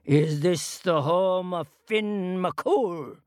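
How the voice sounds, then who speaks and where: A woman voicing a huge, gruff, boastful male giant in a told folktale, in a loud, blustering way. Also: extremely slow, no echo, clean studio sound. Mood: booming. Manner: booming, blustering.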